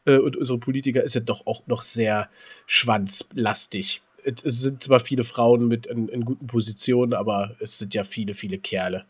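A man's voice: medium pitch, steady tone, moderate pace, breathy edge. A German man co-hosting a podcast in casual, unscripted banter, speaking in a blunt, colloquial way with crude slang. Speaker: podcast co-host.

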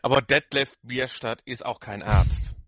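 Male co-host, mid-range voice, casual halting chat, recorded dry with no room sound. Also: medium pitch, a bit bright, no room sound. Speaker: podcast co-host reviewing audio dramas.

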